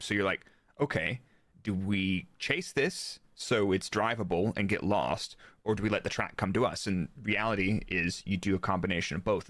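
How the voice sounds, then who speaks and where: A man's voice: lowish voice, fairly bright tone, no echo. An American man giving a casual technical explanation as a guest expert, acting out bits of dialogue as he goes. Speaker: guest, former Formula 1 performance engineer.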